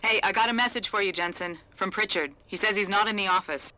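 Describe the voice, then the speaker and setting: A breezy female pilot on game comms, voiced by a woman. She talks quickly in a bright, crisp-edged tone, close and dry. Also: mid-range voice, no room sound, lightly acted, low-quality recording. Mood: brisk, casual. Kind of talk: video game radio dialogue.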